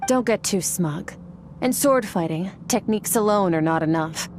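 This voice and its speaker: A woman playing a stern, aloof female sword master who teaches in a measured, severe way, in video game dialogue. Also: mid-range voice, expressive, noisy background, close to an everyday voice. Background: soft game music.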